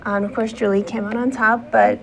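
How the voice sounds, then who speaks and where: A woman's voice: fairly high pitch, level tone, fairly quick, creaky, slight background noise, rough recording. A woman from the pageant organization explaining its rules, with many "um"s and "you know"s.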